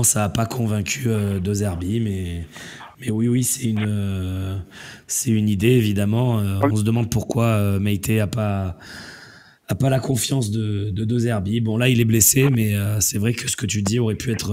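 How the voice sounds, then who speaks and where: Low-voiced man with a failing voice. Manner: relaxed, rambling, many fillers. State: losing his voice, has a cold. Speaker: host. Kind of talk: casual call-in chat.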